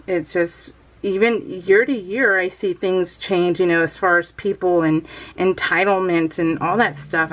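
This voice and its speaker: A woman's voice: medium pitch, a bit bright, poor audio. Chatty, giggly middle-aged American woman. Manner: giggly, self-deprecating, chatty. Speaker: craft video host. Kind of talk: casual rambling chat.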